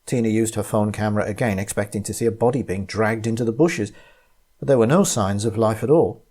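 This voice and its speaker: A man reading a short story aloud as its narrator, in an expressive, dramatic way. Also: lowish voice, brisk, husky.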